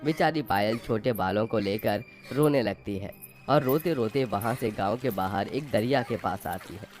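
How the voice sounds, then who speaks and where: A man's voice: lowish voice, normal speed, a little mellow. A man narrating a scripted moral tale as its storyteller. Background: faint music.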